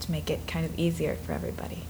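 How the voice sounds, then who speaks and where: A woman in her twenties from Minnesota, telling her life story in an interview, earnest and reflective, sometimes dropping to soft breathy asides. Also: deep voice, rapid-fire, whispered. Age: 20s.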